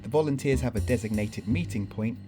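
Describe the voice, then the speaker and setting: A man narrating a documentary in a measured, scripted way. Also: lowish voice, over music. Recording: faint background noise.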